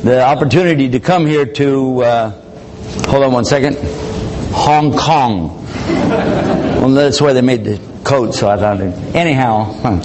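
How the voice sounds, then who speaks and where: A man's voice: mid-range voice, a little slow. Joking male lecturer, noisy hall recording. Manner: conversational, joking, acts out dialogue. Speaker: Islamic lecturer. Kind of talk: public lecture.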